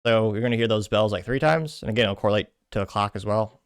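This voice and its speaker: A man's voice: fairly low pitch, fast talker, clear, resonant tone, dry recording. Man casually reading comments, dry close sound. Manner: rambling asides and self-corrections. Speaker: host.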